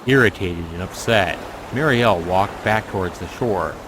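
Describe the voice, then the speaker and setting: A man reading a story aloud as a streamer, in expressive narration with casual asides. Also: low voice, moderate pace, background noise. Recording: music playing behind the voice. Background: music.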